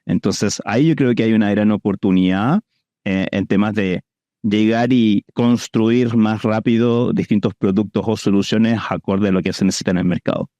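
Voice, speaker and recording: Low, mellow Chilean man. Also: steady tone, moderate pace, no room sound, muffled recording. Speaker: interview guest, venture capital investor. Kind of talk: conversational interview answers.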